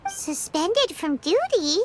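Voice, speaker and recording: A woman voicing a tiny, childlike fairy companion in a video game, chirpy, curious and squeaky. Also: big pitch swings, slow pace, a little hiss or hum, heavily acted. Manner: squeaky, exclaiming, speaks of self in third person. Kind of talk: video game character dialogue.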